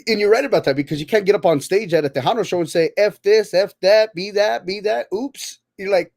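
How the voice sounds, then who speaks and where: A man's voice: sits high, a little fast, vocal fry, darkish tone, dry recording. Man in his thirties, podcast host, rambling casual chat, repeats himself, dry close sound. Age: 30s. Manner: rambling, repeats words often.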